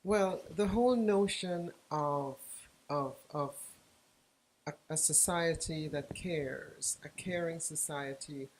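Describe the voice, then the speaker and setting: A woman's voice: sits low, takes it slowly, a little hiss or hum, poor audio. Reflective older woman from Guyana. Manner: reflective, searches for words. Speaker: author and journalist, guest. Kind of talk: interview.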